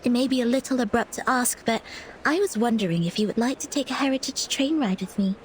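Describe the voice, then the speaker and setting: High-voiced, courteous young woman voiced by an actress. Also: fast talker, a little hiss or hum, lightly acted, rough recording. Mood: hesitant, polite. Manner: courteous, formal. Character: polite, earnest young female assistant, dutiful and formal. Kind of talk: video game voice acting.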